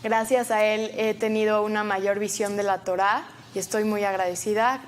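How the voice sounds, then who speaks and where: A woman's voice: medium pitch, little pitch movement, moderate pace, a little hiss or hum. A woman hosting an interview, introducing and questioning her guest in a polite, formal way. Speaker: interview host.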